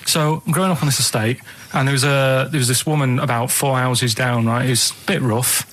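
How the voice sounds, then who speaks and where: A man telling a casual, meandering anecdote on a radio comedy show, halting and repeating himself as he goes. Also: mid-range voice, steady tone, a bit bright.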